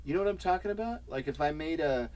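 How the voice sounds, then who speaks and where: A man's voice: a little high, expressive, fast talker, darkish tone. A man co-hosting a casual homestead livestream, rambling playfully and laughing as he talks. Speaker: livestream co-host.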